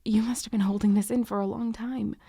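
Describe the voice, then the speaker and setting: A woman playing a snarky but soft-hearted young tutor in an ASMR romance roleplay, halting and sweary, with sighs and a tendency to drop into whispers. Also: a little high, level tone, gravelly, breathy edge, no room sound, clean studio sound. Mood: gentle, remorseful. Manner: halting, awkward, sweary, often sighing and dropping to whispers. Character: harsh, snarky young female tutor turned flustered, guilty comforter.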